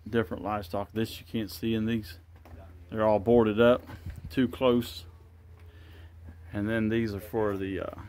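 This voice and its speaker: A man's voice: on the low side, darkish tone, slight background noise. A man giving a casual walking tour of an old wooden barn, thinking aloud and unsure of what he sees, recorded on a handheld phone. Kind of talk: casual walk-and-talk narration. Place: open-sided wooden barn outdoors. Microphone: handheld phone camera.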